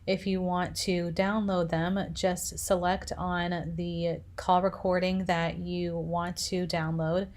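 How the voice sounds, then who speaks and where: A woman presenting a software tutorial, narrating instructions step by step. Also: lowish voice, flat delivery, slow pace, few pauses.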